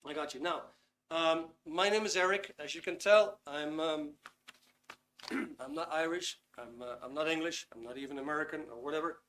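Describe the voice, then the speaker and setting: Dutch man hosting a webinar, fairly high. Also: normal speed, a bit bright, a little hiss or hum. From Netherlands. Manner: chatty, reads out chat comments, frequent ums. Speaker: webinar host. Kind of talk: casual live webinar talk.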